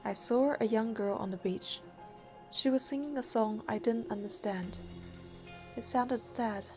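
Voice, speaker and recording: A woman's voice: fairly high pitch, a little slow, a little mellow, soft background music, natural voice. A woman voicing a practical, skeptical young heroine in an English-dubbed game, dry and matter-of-fact as she narrates and talks. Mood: reflective.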